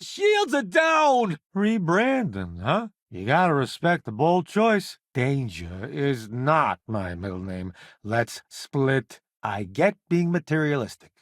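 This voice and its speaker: A flamboyant food critic who has joined a spaceship crew in a video game. His voice sits fairly high for a man and swoops dramatically, at an unhurried pace. He is sardonic, glib and theatrical, always ready with a wisecrack. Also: huge pitch swings, fairly bright tone, no room sound, clean studio sound, heavily acted. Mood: battle excitement. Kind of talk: video game character voice acting.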